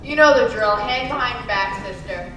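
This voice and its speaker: A woman's voice: fairly high pitch, expressive, normal speed, bright, forceful tone. A young woman playing a brusque, bossy police officer in a radio play. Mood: brusque, impatient. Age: young adult. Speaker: student actor. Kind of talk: old-fashioned radio play acting. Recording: noisy echoey stage, distant mic.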